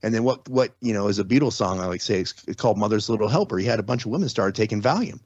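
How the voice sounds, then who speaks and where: A middle-aged man with a low voice tells his story in loose, rambling talk, restarting sentences and tossing in "right?" often. The sound is dry and a bit dull, like a lo-fi recording. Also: lively intonation, racing, a little mellow, slightly rough, dry recording. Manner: rambling, many restarts and tag questions. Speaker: guest. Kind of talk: casual interview, storytelling.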